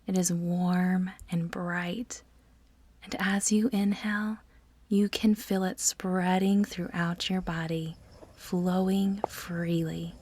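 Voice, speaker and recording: A woman narrating a guided meditation in a soft, breathy, near-whispered voice, soothing and measured, with deliberate pauses between phrases. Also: fairly low pitch, flat delivery.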